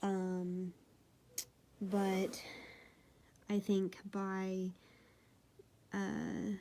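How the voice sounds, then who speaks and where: A woman with a high, girlish, nasal voice from a stuffed-up nose, talking casually to camera with many fillers and apologies. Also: dark tone. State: congested from sneezing. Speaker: vlogger. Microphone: phone.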